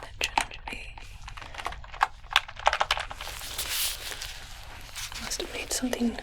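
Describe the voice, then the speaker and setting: A woman playing a curious, clinical alien technician in an ASMR roleplay, whispering breathily and questioning in a hushed, muttering way. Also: fairly quick, lightly acted, rough recording. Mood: puzzled, muttering.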